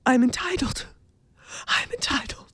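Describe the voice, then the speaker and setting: Frantic, high-pitched invalid wife. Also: female voice, lively intonation, unhurried, whispered. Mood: frantic despair. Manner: breathy gasps, demanding, dramatic. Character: anxious, imperious invalid wife, frantic and self-pitying. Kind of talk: radio thriller acting.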